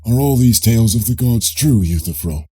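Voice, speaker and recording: A man voicing a probing, politely ironic Greek philosopher in an audiobook dialogue, questioning in a measured way with a husky, dark voice. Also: mid-range voice, animated.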